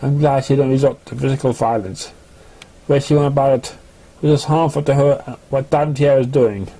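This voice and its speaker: A man's voice: mid-range voice, steady tone, brisk, dark tone, natural voice, rough recording. A man voicing a stern but fair schoolteacher pony in a formal, lecturing manner.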